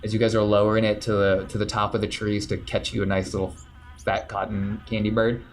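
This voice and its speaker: A man running a tabletop role-playing game, describing the scene to the players in a relaxed way. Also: on the low side, a little fast, a little hiss or hum. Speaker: game master.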